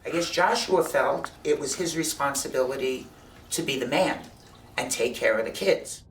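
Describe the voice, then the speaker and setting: A woman's voice: rumbling low, moderate pace. An older woman, a mother, speaks plainly and a little rambling in a documentary interview. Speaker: mother interviewed by her son. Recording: echoey room, distant mic, faint noise.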